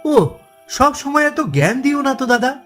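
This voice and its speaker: An actor playing an irritable, cheeky young local man in an audio horror story, snappy and excitable. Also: extremely high pitch, a little slow, faint music behind, full character voice. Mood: irritated.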